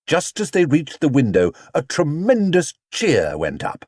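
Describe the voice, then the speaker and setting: A man narrating a children's storybook in an expressive, theatrical way. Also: on the high side, unhurried, no room sound, clean studio sound. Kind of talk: animated children's audiobook narration.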